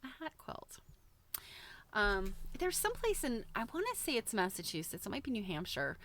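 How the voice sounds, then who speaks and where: A woman host chatting casually on a livestream in an informal, halting way, thinking aloud as she goes. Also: medium pitch, big pitch swings, a bit bright, a little hiss or hum. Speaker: host of a live quilting book show. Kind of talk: casual livestream chat, commenting while browsing a book.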